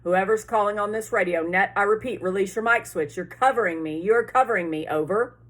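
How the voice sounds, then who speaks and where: An audiobook radio operator played by a woman who answers a distress call. The voice is loud, firm and businesslike, with a husky edge and clipped, emphatic phrases. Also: medium pitch, a little fast, close to an everyday voice. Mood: firm, commanding. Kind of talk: audiobook character voice.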